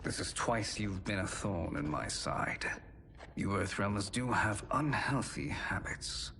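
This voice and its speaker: A man's voice: fairly low pitch, animated, a little slow, a little hiss or hum. An actor playing a scheming male sorcerer-scientist in a video game, speaking in a formal, articulate and condescending manner. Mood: defensive, condescending. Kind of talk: video game cutscene acting. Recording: loud music behind the voice. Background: loud music.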